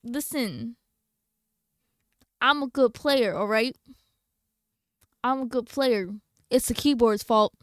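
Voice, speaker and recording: Smooth, higher-voiced woman chatting on stream. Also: slow pace, long pauses, fairly bright tone, no room sound, studio quality. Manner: playful mock-defensive banter, slangy. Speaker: video game livestreamer. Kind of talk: casual livestream chat with viewers.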